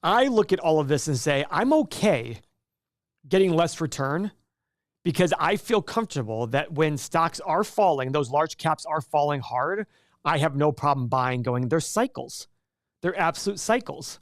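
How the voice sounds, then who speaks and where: A man's voice: on the high side, expressive, normal speed, dry recording, studio quality. A man born in Iraq co-hosting a stock market livestream, talking casually and rambling, repeating words and acting out his own thoughts. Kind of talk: casual investing talk on a livestream.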